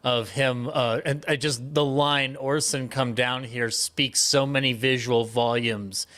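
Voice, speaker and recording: A man speaking as a panelist in a casual discussion, earnest, with halting phrasing. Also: mid-range voice, steady tone, sharp tone.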